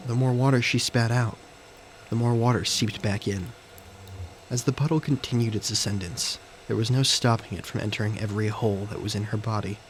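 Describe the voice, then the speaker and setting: Male narrator, first-person thriller drama, lowish voice, measured dramatic phrases, slight background noise. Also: low-quality recording. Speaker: narrator and character of an audio story. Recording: faint background noise and ambience.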